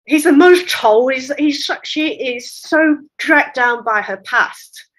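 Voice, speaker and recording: Woman guest, cantonese first language, fairly high voice, thoughtful and chatty, explains with little laughs. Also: takes it slowly, poor audio. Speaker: literary translator, guest. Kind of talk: conversational interview.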